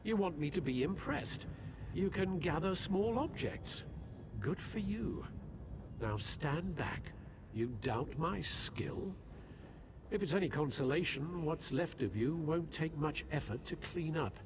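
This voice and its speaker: A man's voice: on the high side, expressive, slow, noisy background. An actor playing a scornful, menacing male ghost enemy in a video game, taunting as he speaks. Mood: sneering. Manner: sneering, taunting. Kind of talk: game voice acting.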